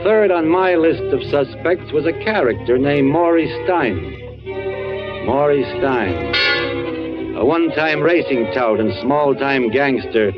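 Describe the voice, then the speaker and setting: An actor with an American accent playing a grim, world-weary narrator of a radio murder story, in deliberate, hard-boiled phrasing. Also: male voice, fairly high pitch. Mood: brooding. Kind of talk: 1940s-50s American radio suspense narration. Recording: muffled old radio recording, loud music behind.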